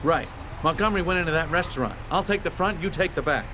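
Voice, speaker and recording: A man voicing an eager young bee detective, cocky and wisecracking, in an animated game cutscene. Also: on the high side, quick pace, noisy background, close to an everyday voice. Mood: brisk. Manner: excitable, wisecracking.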